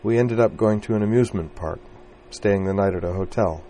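A man reading aloud, voicing a young woman who speaks in a soft, wavering, hushed voice. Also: lowish voice, fairly quick, slight background noise, pitched above the performer's own voice, lightly acted. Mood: hushed, wistful.

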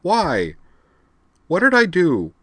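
Fiery, devoted young woman played by a man, high-pitched and vehement, emotional audiobook character, dry close sound. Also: wildly swooping pitch, slow, fairly bright tone, no echo, heavily acted. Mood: upset, insistent. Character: fiery, distraught young woman, devoted and fiercely loyal friend.

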